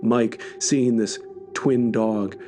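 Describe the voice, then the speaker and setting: A man's voice: medium pitch, steady tone, very slow, over music. A man reading scripted first-person horror narration as a story podcast narrator.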